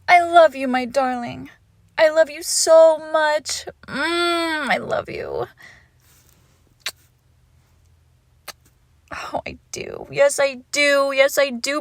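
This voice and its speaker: A woman playing a lovesick, possessive young witch in an intimate roleplay audio, cooing haltingly and tenderly with a creepy edge, often dropping into whispers. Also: a little high, dry recording, clean studio sound. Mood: adoring, obsessive. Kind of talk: intimate roleplay audio acting, close to the listener.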